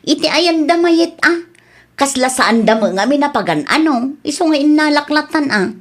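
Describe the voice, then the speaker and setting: A woman's voice: high voice, expressive, normal speed. A woman with an Ilocano accent playing a shameless, scheming neighbour in a comedy radio drama. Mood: sly.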